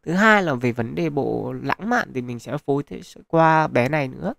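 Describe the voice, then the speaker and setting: Gravelly-voiced man chatting over gameplay. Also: a little high, expressive, normal speed, fairly bright tone, no echo. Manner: chatty, advising viewers, many fillers. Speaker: gaming channel host. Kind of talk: casual gameplay commentary. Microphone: close mic, voice-over on screen capture.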